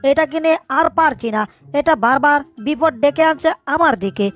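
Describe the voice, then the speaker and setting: A woman's voice: high-pitched, fairly quick, natural voice. A woman voicing a small male mouse in a cartoon dub, fussy and exasperated but kindly.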